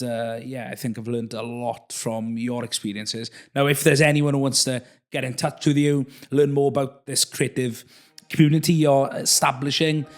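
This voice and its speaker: A man hosting a podcast interview, talking in a friendly, easygoing way with plenty of "uh" fillers. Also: medium pitch. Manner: friendly, hesitant with frequent uh fillers. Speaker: podcast host. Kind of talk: casual interview chat.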